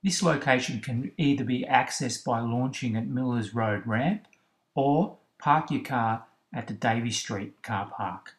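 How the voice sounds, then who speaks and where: A man, host of a fishing channel, narrating instructional tips in a steady, explanatory way. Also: mid-range voice, unhurried. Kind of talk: instructional voice-over about kayak fishing spots. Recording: roomy, echoey recording.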